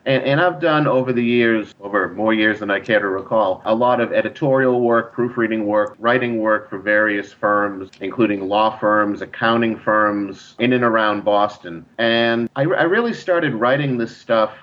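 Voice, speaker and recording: A middle-aged man with a fairly low voice, heard over slightly muffled lo-fi audio. He speaks as an interview guest, wry and self-deprecating. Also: normal speed, hardly any pauses. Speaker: audio drama writer, guest. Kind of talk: interview talk. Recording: dull lo-fi remote call.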